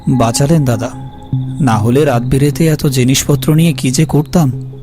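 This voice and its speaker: A man playing a polite, uneasy traveller who narrates an eerie animated ghost story in the first person. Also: mid-range voice, moderate pace, lightly acted. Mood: grateful, conversational. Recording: faint music behind the voice.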